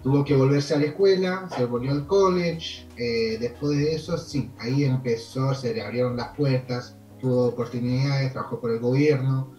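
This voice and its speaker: A Canadian man, French his first language, speaking with an Argentine accent as an immigration consultant in a video call interview, telling stories in a relaxed, rambling way with fillers. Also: medium pitch, moderate pace, few pauses, roomy sound, soft background music.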